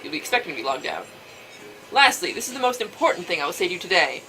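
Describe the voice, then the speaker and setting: A woman's voice: lowish voice, sing-song, fast talker, bright, forceful tone, soft background music, voice pushed down, poor audio. A woman voicing a stern lead developer giving formal, insistent instructions in a visual novel read-aloud. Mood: firm, serious. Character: stern lead developer briefing virtual reality testers. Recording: faint background noise.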